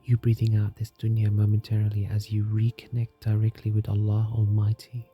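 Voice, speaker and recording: A man leads a guided meditation in a soft, soothing, scripted narration, calm and gentle, leaving long unhurried pauses. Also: lowish voice, slightly rough, dull audio. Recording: music behind the voice. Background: soft music.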